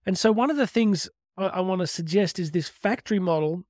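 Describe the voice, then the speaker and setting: High-pitched man giving an earnest motivational talk. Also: brisk, a little mellow, no echo, clean studio sound. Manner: earnest, emphatic, with rhetorical questions. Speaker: motivational speaker. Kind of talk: motivational talk with religious teaching.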